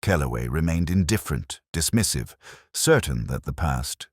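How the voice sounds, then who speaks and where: Male narrator for mystery fiction, very deep register, solemn and literary, expressive intonation. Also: breathy edge, no room sound, clean studio sound. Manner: measured, solemn, literary delivery. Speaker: audio drama narrator. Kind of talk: scripted first-person mystery story narration.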